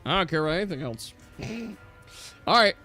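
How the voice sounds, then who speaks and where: A man's voice: on the high side, expressive, fairly quick, bright, forceful tone. A man narrating casually as game master on a livestream, close on the mic. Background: music.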